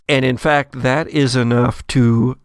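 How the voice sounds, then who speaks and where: A man narrating a tabletop horror game as game master, with deliberate, suspenseful pauses. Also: mid-range voice, steady tone, slight background noise.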